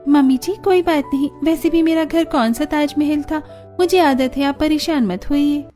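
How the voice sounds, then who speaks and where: A woman's voice: high-pitched, normal speed, dark tone, soft background music, close to an everyday voice. A woman playing a humble, uncomplaining young bride in a family story, polite and accepting. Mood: humble, reassuring. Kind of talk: dramatised Hindi family story acting.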